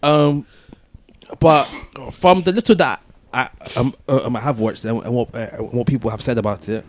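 A man's voice: medium pitch, moderate pace. Man musing casually, slightly noisy recording. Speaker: podcast co-host. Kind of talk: casual podcast discussion.

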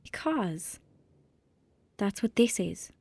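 A woman's voice: mid-range voice, sing-song, slow pace, gravelly, darkish tone, breathy edge, dry recording, heavily acted. Earnest young Irish girl. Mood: earnest, sombre. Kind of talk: naturalistic audio drama acting.

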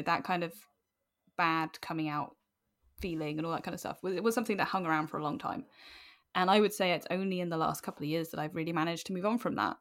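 A British woman in her late twenties speaks as a podcast guest in a slightly low-pitched voice. Her delivery is candid and conversational, with an animated, informal way of making her points. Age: late 20s.

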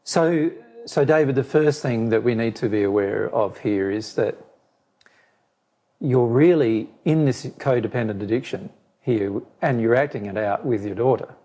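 Guy going hoarse, dark husky voice, talking it through, lots of restarts. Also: mid-range voice. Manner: measured, repeats and restarts phrases. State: losing his voice, hoarse. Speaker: spiritual teacher answering a question. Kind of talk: explaining to a listener.

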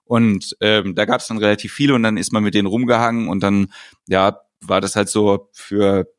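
A man hosting a casual podcast, telling stories in a relaxed way with dry humor. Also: lowish voice, moderate pace, no echo.

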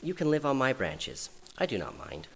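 A man reading aloud and voicing a kind, welcoming spruce tree that offers shelter gently. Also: mid-range voice, very animated, normal speed. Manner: gentle and warm-hearted. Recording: reverberant hall, faint noise.

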